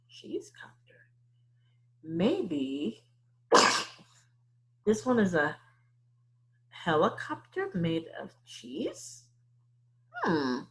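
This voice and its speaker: Woman, children's story-time teacher. Husky, lowish voice, questioning and thinking aloud for young listeners. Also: a bit bright, low-quality recording. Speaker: teacher leading a read-aloud.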